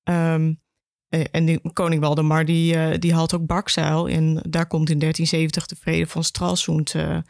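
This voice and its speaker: A woman's voice: deep voice, completely flat delivery, moderate pace, gravelly, a little mellow, no room sound, clean studio sound. A woman the explains history in an informal, conversational way as a podcast guest. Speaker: education officer, podcast guest.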